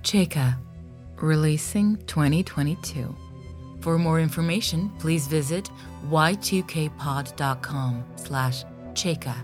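A woman's voice: deep voice, slow pace, music in the background. A woman reading a scripted audio drama promo as an announcer. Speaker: trailer announcer.

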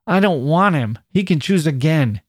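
A man's voice: a little high, lively intonation, moderate pace, slightly rough, no room sound, studio quality, pitched above the performer's own voice. A man reading the part of a fierce, bitter and commanding young woman in a literary short story. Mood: bitter disgust. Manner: sharp, insistent demands and outcries.

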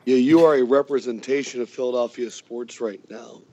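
A man's voice: mid-range voice, steady tone, fairly quick, a little mellow. A man co-hosting a casual sports podcast, teasing and joking with the host. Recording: dull dry sound, maybe over a phone.

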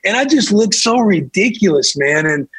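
A young man with a raspy voice, a former football player talking candidly as a podcast guest with lots of fillers. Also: high-pitched, animated, normal speed, a crowd in the background. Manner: candid, laid-back storytelling with frequent fillers. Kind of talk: casual chat.

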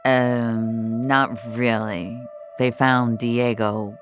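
A woman voicing Soren, low-key, deep-voiced young guy. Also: little pitch movement, extremely slow, faint music behind, full character voice. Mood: downcast. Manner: subdued, casual, hesitant. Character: Soren, low-key, apologetic young male online friend.

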